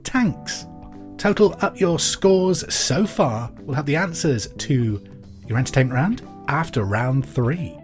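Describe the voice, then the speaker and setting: A man's voice: medium pitch, lively intonation, slow, soft background music. A man with a British accent hosting a pub quiz podcast in an informal, chatty way with jokey asides.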